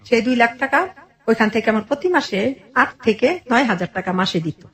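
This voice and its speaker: High-voiced Bangladeshi woman telling her story. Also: expressive, a little fast, a bit bright. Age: middle-aged. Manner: earnest, detailed narration with quoted dialogue. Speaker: interview guest telling her life story. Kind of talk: personal storytelling in conversation.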